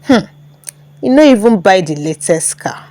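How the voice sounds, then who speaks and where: A woman voicing a calm, envious young woman with a dry, sceptical manner in a story. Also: medium pitch, normal speed, no room sound, voice pushed down, lightly acted. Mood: sneering.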